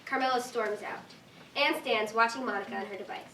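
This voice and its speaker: Higher-voiced woman narrating in an echoey room. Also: fairly quick, sharp tone. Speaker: narrator reading stage directions. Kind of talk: scripted narration at a staged reading. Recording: echoey room, distant mic, faint noise.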